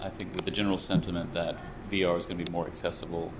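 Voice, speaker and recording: A young man with a very low voice talks through his ideas slowly and carefully, often restarting a phrase. An air conditioner hums steadily behind him. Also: flat delivery. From United States. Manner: thoughtful, measured, with frequent restarts. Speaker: VR researcher and developer. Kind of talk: explanatory interview talk. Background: air conditioning hum.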